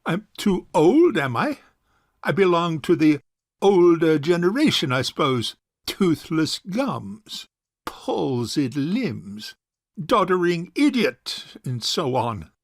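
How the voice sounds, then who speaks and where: A man's voice: a little high, big pitch swings, takes it slowly, dry recording, clean studio sound, full character voice. An actor playing a suave, sarcastic bachelor uncle in his forties in an audio farce, speaking in a pompous, formal way. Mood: sarcastic anger. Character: suave, sarcastic forty-two-year-old rich bachelor uncle. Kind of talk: stage farce audio drama acting.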